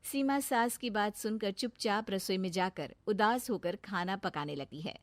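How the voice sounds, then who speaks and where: A woman's voice: medium pitch, moderate pace, no echo. A woman storyteller reading a scripted moral tale for children.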